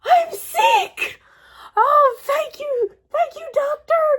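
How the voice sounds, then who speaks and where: Woman playing a shrill, melodramatic storybook elephant. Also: expressive, extremely slow, a bit bright, heavily acted. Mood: overjoyed. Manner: wailing, stammering, exclamatory. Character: melodramatic, distraught male elephant with sneezing fits.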